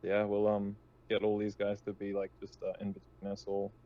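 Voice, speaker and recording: A hesitant, halting man talking casually as a player in a tabletop roleplaying game over a muffled microphone. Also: lowish voice, monotone. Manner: hesitant, halting with many ums. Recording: dull, muffled microphone sound.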